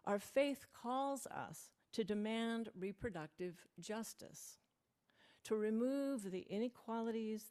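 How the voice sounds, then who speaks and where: A woman leading part of a worship service, reading a prepared reflection in a measured, deliberate way. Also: mid-range voice, a little mellow. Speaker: worship leader.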